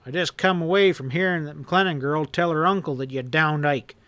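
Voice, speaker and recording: A man's voice: fairly high pitch, normal speed, pushed and bright, no room sound. A man voicing a hard, furious outlaw leader who roars and snarls his threats. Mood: roaring. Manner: snarling, roaring, ominous.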